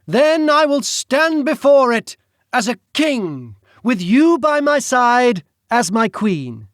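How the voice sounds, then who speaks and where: A man voicing a grandiose, menacing duke with a British accent, slow and theatrical. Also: very high-pitched, sing-song, no room sound, studio quality, voice pushed up, exaggerated voice. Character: suave menacing male duke, grandiose villain.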